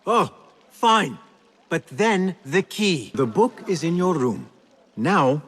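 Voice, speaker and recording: Charming, lively young rogue. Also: male voice, on the high side, slow pace, husky, heavily acted. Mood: exasperated. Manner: formal phrasing, teasing, persuasive. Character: smooth, charming, wheedling young male thief. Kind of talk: video game cutscene acting.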